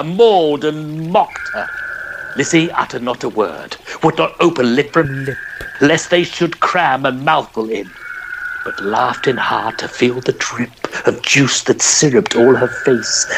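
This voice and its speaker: A man with a fairly high voice reads dramatic verse at a slow pace. His delivery is theatrical, in old-style radio storytelling fashion, over clearly audible background noise. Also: a bit bright, poor audio. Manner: theatrical, varied emphasis. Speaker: podcast narrator.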